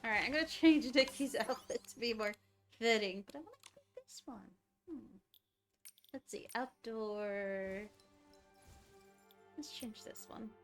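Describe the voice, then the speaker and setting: A woman's voice: a little high, unhurried, long pauses, soft background music. A woman game streamer giving casual live commentary, musing aloud to herself as she plays.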